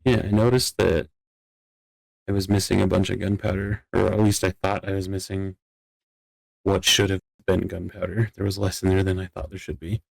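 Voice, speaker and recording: A man's voice: deep voice, level tone, moderate pace, creaky, no echo, rough recording. A man talking casually and teasingly with dry delivery during a gaming roleplay. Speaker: Minecraft roleplay player.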